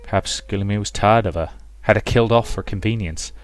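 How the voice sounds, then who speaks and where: A man's voice: fairly low pitch, brisk, clean tone. A man reading a visual novel as a dramatic scripted narration, with music underneath. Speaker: let's play narrator.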